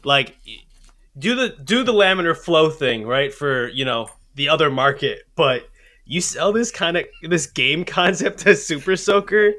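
Male panelist, higher pitch, bright forceful tone, excited slangy banter. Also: measured pace. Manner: excited, informal. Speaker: podcast panelist. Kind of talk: casual slangy chat.

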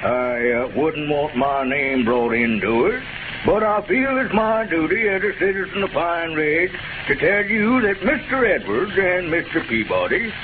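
A scratchy, thin old radio broadcast with heavy noise. a sanctimonious small-town squire with a drawl, his pitch swooping as he hems, haws and flatters with pompous, oily politeness. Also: a little slow, phone-quality sound. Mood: unctuous. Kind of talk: 1930s radio comedy acting.

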